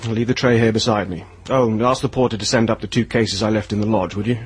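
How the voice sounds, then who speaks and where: A man's voice: on the low side, fairly even intonation, fast talker, breathy edge. A man playing a curt, weary and menacing aristocratic lord in an audio drama, with a commanding, clipped delivery.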